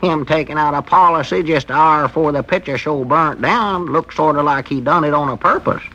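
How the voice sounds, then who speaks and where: An actor playing a plainspoken, needling country storekeeper with a rural Southern drawl in a 1940s radio comedy serial. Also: male voice, on the high side, a little fast, lo-fi sound. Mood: folksy. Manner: rustic drawl with homespun grammar. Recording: bright, thin 1940s radio recording.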